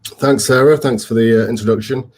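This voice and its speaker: A man in his forties with a Leeds accent, a company co-founder speaking on an online panel, relaxed, with frequent ums and restarts. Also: medium pitch, a little fast. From England. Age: 40s.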